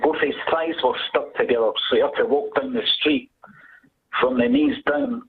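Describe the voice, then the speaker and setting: Husky Scottish caller on a tinny phone line. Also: male voice, on the high side, normal speed. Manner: animated, laughs at his own story. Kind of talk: comic storytelling.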